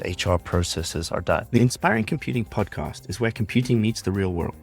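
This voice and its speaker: A man's voice: low-pitched, creaky. A man hosting a podcast, reading a polished intro and then interviewing his guest with enthusiasm. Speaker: podcast host.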